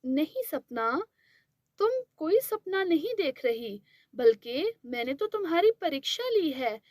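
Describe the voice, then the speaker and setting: Cartoon fairy, played by a woman, calm, reassuring, very high voice, unhurried. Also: no room sound, clean studio sound, exaggerated voice. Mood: gentle. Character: gracious wish-granting female fairy.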